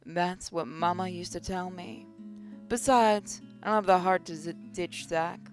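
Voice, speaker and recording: A woman hosting a gaming channel, reading visual novel narration aloud as expressive first-person storytelling. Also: on the low side, a little slow, faint music behind.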